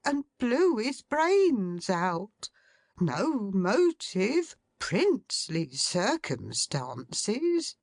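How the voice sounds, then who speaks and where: A woman's voice: medium pitch, expressive, slow, no room sound, studio quality, pitched above the performer's own voice. A woman voicing an agitated, fussy male secretary who blurts out alarming news in breathless exclamations.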